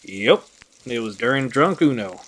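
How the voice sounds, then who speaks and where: A man's voice: medium pitch, expressive, measured pace, fairly bright tone, breathy edge, low-quality recording. Goofy young guy with a playful streak, acted by a man. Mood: breezy, joking. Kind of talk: audio drama acting.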